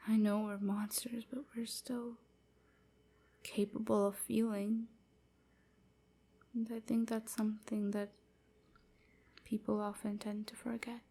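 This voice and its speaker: A woman playing a melancholy enslaved vampire in an intimate audio roleplay, gentle and confiding, with a breathy, often whispered voice. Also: mid-range voice, little pitch movement. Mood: bitter sorrow. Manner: slow, hesitant, softly confiding.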